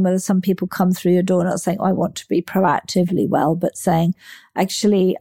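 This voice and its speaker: A woman's voice: lowish voice, creaky, mellow. A woman hosting a podcast, agreeable and drawing out her guest with questions. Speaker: podcast host. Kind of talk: podcast conversation.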